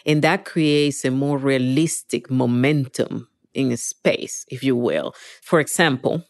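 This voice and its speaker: A woman's voice: very deep voice, dry recording. Female interviewee, middle-aged, Venezuelan accent, Spanish first language, emphatic conversational delivery in a dry recording. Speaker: interviewee, virtual reality engineer.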